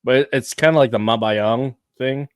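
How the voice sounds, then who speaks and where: A man's voice: mid-range voice, normal speed, clean tone, no echo, clean studio sound. A man hosting a casual podcast interview, asking long thoughtful questions and adding short backchannels. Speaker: podcast host.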